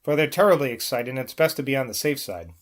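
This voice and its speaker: A man's voice: mid-range voice, lively intonation, quick pace, natural voice. A man reading as a blunt, roguish penniless charmer. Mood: blunt, insistent. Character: roguish, penniless young man, sly romantic sponger.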